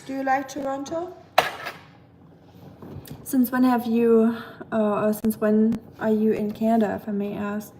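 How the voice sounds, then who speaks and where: A woman's voice: fairly high pitch, level tone, a little slow, pauses often, background noise. A young woman with a German accent, chatting casually with her livestream while she cooks at home. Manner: chatty and friendly, lots of fillers, talks while working. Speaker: vlogger livestreaming. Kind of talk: casual chat reading live comments while cooking. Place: home kitchen, clattering pots and pans behind. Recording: echoey kitchen with clattering pots.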